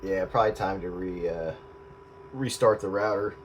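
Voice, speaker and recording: A man's voice: deep voice, moderate pace. Hesitant, wry man on a staticky radio. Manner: hesitant, self-deprecating, wry. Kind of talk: casual radio chat. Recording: radio audio with clear static.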